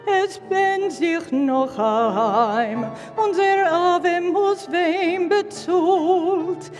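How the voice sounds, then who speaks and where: A woman's voice: very high voice, very slow, long reverb tail, faint music behind. A woman cantor singing a solo in an operatic style. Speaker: cantor, singer.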